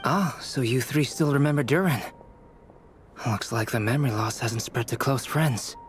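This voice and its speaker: An actor playing a calm, analytical young male alchemist in a video game, measured and explanatory. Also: mid-range voice, background noise, close to an everyday voice. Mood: composed, explanatory.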